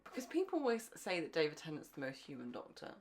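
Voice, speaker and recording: A woman with a fairly low voice and very lively intonation, co-hosting a fan podcast. She talks informally and with emphasis, as if discussing a favourite show with a friend. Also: fast talker, slight background noise. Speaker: podcast co-host. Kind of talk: casual fan chat about television.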